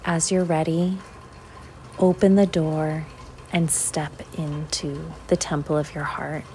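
A woman leading a guided visualization in an unhurried, measured way, leaving long pauses between short phrases. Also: low-pitched, little pitch movement, warm tone, a little hiss or hum, poor audio. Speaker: guided meditation host.

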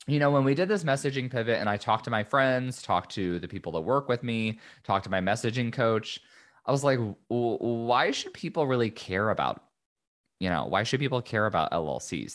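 Male podcast host, lowish register, friendly chatty delivery, faint scratchiness from a recently lost voice. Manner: chatty, upbeat, frequent ums and asides. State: recovering from lost voice, slightly scratchy. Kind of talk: casual solo podcast talk.

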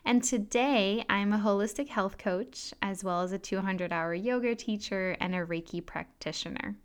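A woman in her thirties, French but sounding American, hosting a calm wellness podcast in a soft, gentle, unhurried way. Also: medium pitch, clean tone. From France. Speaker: podcast host and health coach. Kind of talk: calm solo podcast talk with guided breathing.

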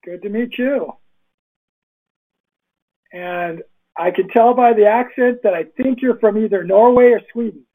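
A man's voice: high-pitched, measured pace, pauses often, phone-quality sound. A man hosting a webinar podcast interview, upbeat and chatty, talking over a video call. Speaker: podcast host.

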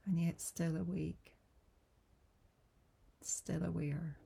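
A woman guiding a yoga nidra relaxation in a soft, breathy, soothing voice, gentle and unhurried with long pauses. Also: fairly low pitch, steady tone. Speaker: yoga nidra meditation guide. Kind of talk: guided relaxation meditation.

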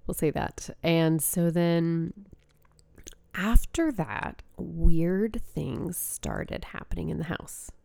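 Slow, gravelly-voiced woman with a stuffy nose. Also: fairly low pitch, lively intonation, warm tone, dry recording. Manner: rambling, with frequent fillers and laughs. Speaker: podcast host and spiritual coach. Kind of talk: casual storytelling monologue.

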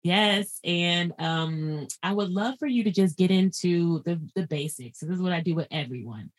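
A woman's voice: lowish voice, moderate pace. A woman hosting a podcast interview, effusive and chatty, laughing often and restarting her sentences. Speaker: podcast host. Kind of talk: casual interview chat.

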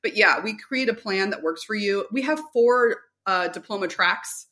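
A woman's voice: mid-range voice, normal speed, bright, forceful tone. Middle-aged woman chatting casually. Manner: chatty, anecdotal, often acting out quoted dialogue. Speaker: guest, homeschool curriculum company representative. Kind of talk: casual conversational interview answers.

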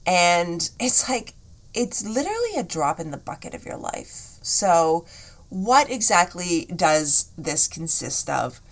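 A woman hosting a solo podcast, chatting in an encouraging way, her voice a bit rough today. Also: lowish voice, very animated, slow pace. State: slightly rough voice. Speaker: podcast host.

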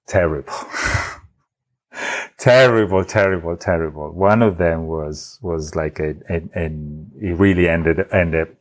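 Low-voiced man with an Italian accent. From Italy. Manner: halting, many restarts and fillers, laughs often. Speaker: podcast guest. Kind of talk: casual storytelling.

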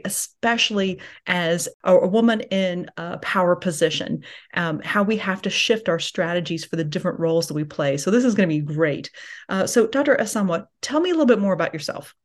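A woman's voice: on the low side, brisk. A woman who is a general surgeon, hosting a podcast interview in an enthusiastic, chatty way.

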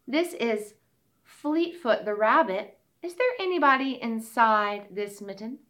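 Lively storybook boy rabbit, a bit high and polite, as a woman puts him on. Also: a little slow. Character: tired male rabbit.

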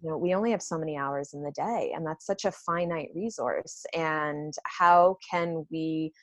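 A woman with a notably low-pitched voice speaks as an interview guest. She explains in an informal, conversational way, working things out aloud, in a very dry recording. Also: normal speed, no echo. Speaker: business owner being interviewed.

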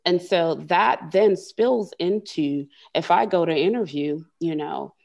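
A woman's voice: on the low side, a little slow, vocal fry, a little mellow. A woman being interviewed as a corporate leader and coach, speaking earnestly and often repeating words as she gathers her thoughts. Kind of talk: conversational interview.

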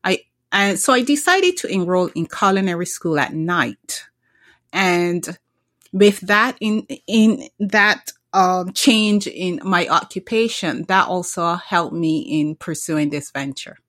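A woman's voice: fairly low pitch, animated, slow, fairly bright tone, no room sound, clean studio sound. A middle-aged woman from Barbados, a small business founder, telling her story earnestly in a casual interview, often restarting her sentences.

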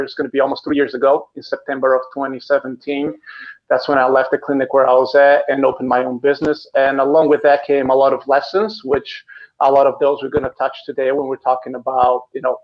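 A man in his thirties, originally from Brazil, talking as a guest in a casual interview, earnest and often repeating words as he starts sentences. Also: mid-range voice. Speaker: canine physical therapist, guest. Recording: dull lo-fi audio from a live stream.

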